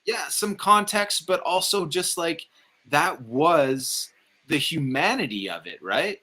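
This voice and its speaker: A man's voice: on the high side, sing-song, takes it slowly, pushed and bright. A man in a casual film discussion, speaking emphatically and repeating phrases to press his points. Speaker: film review podcast panelist.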